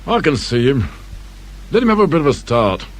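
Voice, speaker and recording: A man's voice: medium pitch, very animated, moderate pace. An actor playing a grumpy middle-aged police inspector in a radio drama, sarcastic and impatient as he barks orders. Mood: irritable. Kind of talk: British radio drama acting.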